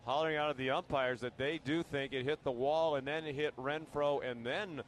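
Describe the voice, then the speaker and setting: A man's voice: on the high side, steady tone, brisk. A man giving live commentary on a baseball game as a radio broadcaster. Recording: live ballpark broadcast, faint noise.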